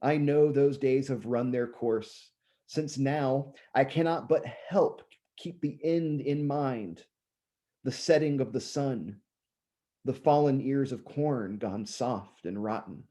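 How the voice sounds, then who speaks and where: Man, 30s, poet reading his own work, slightly hoarse, throat-clearing, dark mellow tone, measured recitation, chatty asides. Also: mid-range voice. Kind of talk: poetry reading with casual asides.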